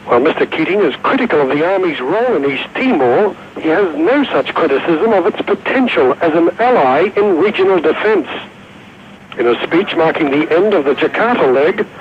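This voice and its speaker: High-voiced man filing a news report. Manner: crisp, formal reporting cadence. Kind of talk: scripted news report voice-over. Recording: dull old broadcast tape with faint hiss.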